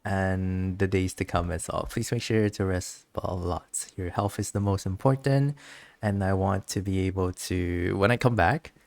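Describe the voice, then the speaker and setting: Low-pitched streamer, giggly and rambling. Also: measured pace, vocal fry, a little mellow, dry recording. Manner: giggly, rambling, reads game text aloud. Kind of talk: casual gameplay commentary, chatting to viewers.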